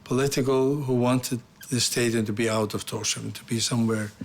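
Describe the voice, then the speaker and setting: A man's voice: medium pitch, fairly even intonation, a little fast, background noise. A man who was once a mayor, recalling events in non-native English with some restarts. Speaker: former mayor.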